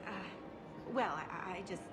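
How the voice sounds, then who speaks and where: A woman's voice: on the low side, a little slow, lightly acted. A woman playing a well-meaning, awkward female shopper in a video game, hesitant and eager to please. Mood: flustered. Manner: flustered, hesitant. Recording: background music.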